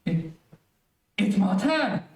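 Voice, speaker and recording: An actor playing a bewildered, alarmed doctor with exclamatory delivery in old-time radio melodrama. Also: male voice, sits high, very animated, slow, faint music behind, full character voice. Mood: shocked. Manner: exclamatory, incredulous. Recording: echoey room, far from mic.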